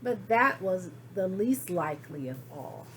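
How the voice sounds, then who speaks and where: A woman reading a children's story aloud as expressive narration, with deliberate, dramatic phrasing. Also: on the low side, a little hiss or hum, rough recording. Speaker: storybook reader.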